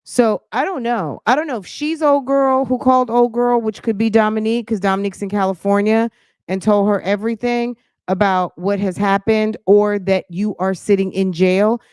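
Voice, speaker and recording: A woman's voice: mid-range voice, fairly even intonation, normal speed, darkish tone, clear, resonant tone, no room sound. A woman hosting a casual commentary show, thinking aloud in a sassy, pointed way with rhetorical questions and emphatic repetition.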